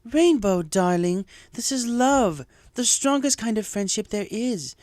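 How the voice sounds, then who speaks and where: Man as an elegant, high-voiced lady pony. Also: expressive, measured pace, darkish tone, no room sound, studio quality. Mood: earnest reassurance. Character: elegant, dramatic, supportive female pony.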